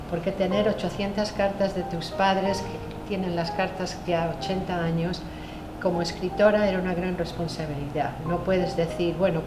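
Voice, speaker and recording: A woman's voice: fairly low pitch, noisy background. Reflective woman author, echoey room. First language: Spanish. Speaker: memoir author guest. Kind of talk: panel discussion answers. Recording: echoey room with music behind.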